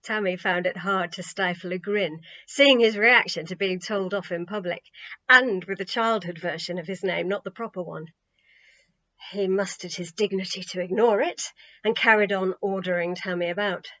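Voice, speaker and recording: A woman narrates a children's audiobook with expressive, scripted storytelling. Her voice sits at a middle pitch. The sound is a little dull and muffled. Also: normal speed.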